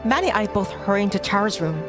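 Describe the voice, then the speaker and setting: A woman reading a visual novel aloud as a streamer, narrating in measured phrases with casual commentary. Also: medium pitch, animated. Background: music.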